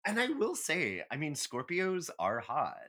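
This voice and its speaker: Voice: mid-range voice, wildly swooping pitch, unhurried, pushed and bright. A podcast co-host in casual chat, giving candid, emphatic, sweary opinions like a comic rant.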